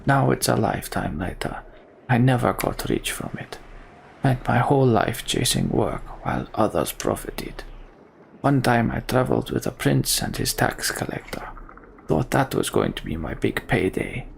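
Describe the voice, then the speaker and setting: Fantasy game mercenary, male performer: cynical, resigned, very gravelly, lively swings in pitch, faint background noise. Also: normal speed, heavily acted. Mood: rueful, wry. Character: jaded, cynical mercenary man, world-weary and bleak. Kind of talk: voiced dialogue in a fantasy role-playing game.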